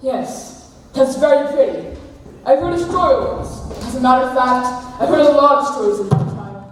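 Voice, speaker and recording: In a reverberant hall with faint noise, a young woman plays a bitter jilted man in a stage drama. The voice is high for all his drunken sarcasm and wounded pride. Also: moderate pace, distant mic, lightly acted. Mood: bitter, accusing. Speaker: student actor in a school play. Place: large hall at a school stage event.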